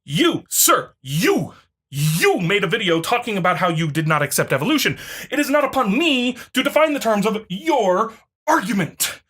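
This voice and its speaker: A woman commentator rebuts off the cuff with open sarcasm. Her voice is lively and a little rough-edged, sounding exasperated and freely profane. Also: medium pitch, moderate pace. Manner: exasperated, sarcastic, foul-mouthed. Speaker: online video commentator.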